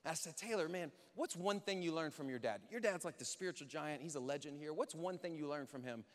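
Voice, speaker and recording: Male pastor, high voice, informal but emphatic sermon delivery, rhetorical questions, long hall reverb. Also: animated, fast. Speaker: pastor preaching to a congregation. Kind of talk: contemporary conversational sermon. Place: large church hall, speaking to an audience.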